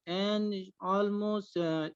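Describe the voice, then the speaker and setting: Male panelist; slow, hesitant, clean dry voice on a dull call line. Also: sits high, steady tone, clear, resonant tone, dry recording. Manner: halting, frequent filler pauses. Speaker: panelist, former refugee teacher. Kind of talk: answering panel questions.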